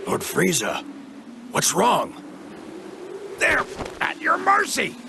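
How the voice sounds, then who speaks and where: Anime game henchman: fawning excitable alien, gravelly, slow drawn-out bombast, wildly swooping. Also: high-pitched, background noise, heavily acted. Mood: fawning alarm. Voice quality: gravelly, strained. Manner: bombastic, obsequious. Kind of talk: English anime video game dub acting. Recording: music under the voice.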